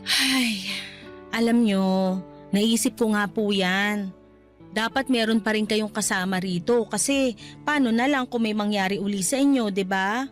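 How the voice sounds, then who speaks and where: A woman's voice: medium pitch, unhurried, soft background music, close to an everyday voice. A woman playing a caring, cheeky middle-aged daughter who nags respectfully in a Tagalog radio drama. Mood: concerned. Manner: respectful but nagging.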